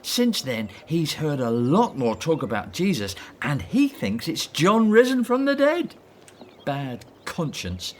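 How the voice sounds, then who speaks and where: An actor playing a breezy, flippant male disciple in a radio drama, brisk and dismissive with a theatrical relish for gossip. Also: on the high side, huge pitch swings, a little hiss or hum, heavily acted. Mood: dismissive, scornful.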